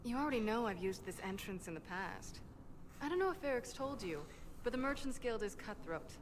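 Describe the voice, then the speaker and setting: A woman playing a cocky, quick-witted female dwarf inventor in a video game, dry and boastful. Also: on the high side, animated, a little hiss or hum, natural voice, rough recording. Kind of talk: video game character acting.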